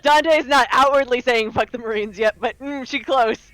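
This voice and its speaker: A woman's voice: fairly high pitch, normal speed, a crowd in the background, rough recording. A woman playing a tabletop roleplaying game, bantering casually with an irreverent, profane streak. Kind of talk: casual bantering in-character play. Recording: live stream with music underneath. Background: music.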